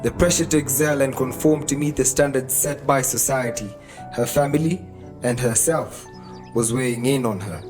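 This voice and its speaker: A Kenyan man narrates a scripted radio drama. His voice is medium in pitch, with music playing faintly underneath. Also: moderate pace. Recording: music behind the voice. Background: background music.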